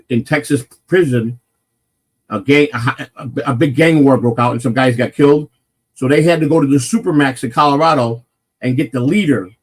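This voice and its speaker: A man with a naturally loud voice, hosting a casual morning live stream and rambling through a personal story with lots of swearing and restarts. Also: mid-range voice, low-quality recording. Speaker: morning show host telling a personal story.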